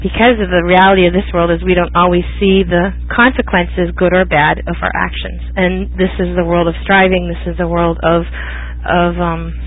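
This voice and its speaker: A man's voice: high-pitched, level tone, moderate pace. A man teaching a casual online religious study class, rambling and often restarting his phrases. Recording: dry, phone-like online voice chat.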